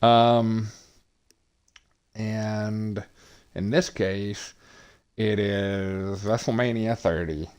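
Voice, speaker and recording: A man with a low voice and a very slow, deliberate pace narrates his gameplay stream. He sounds monotonous and hesitant, with frequent pauses and filler words. His voice comes through clean and smooth. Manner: monotonous, hesitant with many ums. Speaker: gaming streamer. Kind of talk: casual game commentary.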